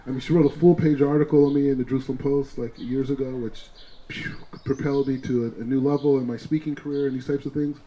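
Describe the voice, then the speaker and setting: Brief: mellow-voiced man, earnest host-style introduction and blessing, halting restarts. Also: mid-range voice, steady tone, poor audio. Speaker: speaker introducing the author.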